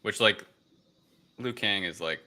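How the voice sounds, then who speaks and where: A man's voice: fairly low pitch, measured pace, a crowd in the background. A man co-hosting a casual film discussion, adding tentative conversational asides. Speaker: podcast co-host.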